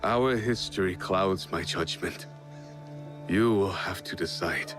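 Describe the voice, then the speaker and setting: A noble, weary samurai from a video game. His voice sits low and he speaks with measured sincerity. Music plays clearly underneath. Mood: somber. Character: weary, honourable samurai warrior torn over an old friend. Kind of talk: video game cutscene acting. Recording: music behind the voice.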